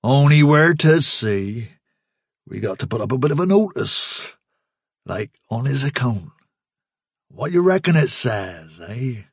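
Man doing a gravelly rural english brute, cruel, taunting, broad dialect, dry close sound. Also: medium pitch, big pitch swings, unhurried, no room sound, full character voice. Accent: rural English dialect put on. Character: menacing rustic man, cruel and mocking.

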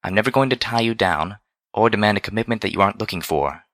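A prim, velvety pony lady, acted out by a male narrator for a storybook. The delivery is smooth and genteel with a teasing streak, crisp and bright, recorded very dry. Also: low-pitched, normal speed, dry recording. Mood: gentle, silky. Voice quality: smooth, silky. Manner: formal, genteel diction.

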